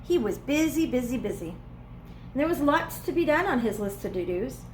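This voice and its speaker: A woman reading a children's storybook aloud as a preschool teacher would, with expressive, playful emphasis. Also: high-pitched, moderate pace, slight background noise. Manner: dramatic, playful emphasis on repeated words.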